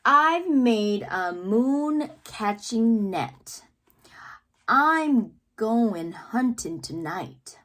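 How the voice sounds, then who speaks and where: A woman reading children's poetry aloud with theatrical, deliberate phrasing and playful emphasis, adding asides as the reader. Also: mid-range voice, a bit bright. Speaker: read-aloud host, teacher-like reader.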